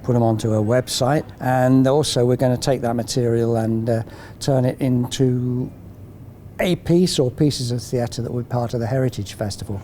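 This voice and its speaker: A man's voice: mid-range voice, steady tone, moderate pace, dark tone, slight background noise. A man speaking as a project facilitator in a documentary interview, earnest and explanatory.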